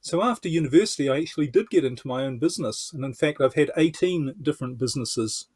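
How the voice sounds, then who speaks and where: A man's voice: medium pitch, animated, quick pace, a little mellow, breathy edge. A man from New Zealand with a New Zealand accent, talking conversationally as a coach being interviewed on a podcast. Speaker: podcast guest, entrepreneur coach.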